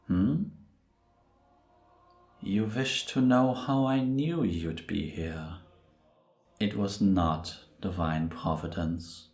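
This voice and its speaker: A man playing Death as a grave, gentle reaper who speaks in a measured, deliberate way in an audio roleplay. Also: sits low, full character voice. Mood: calm, reflective. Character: solemn, gentle male Death, a reaper who was once human. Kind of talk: cinematic audio roleplay acting. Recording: roomy, reverberant sound.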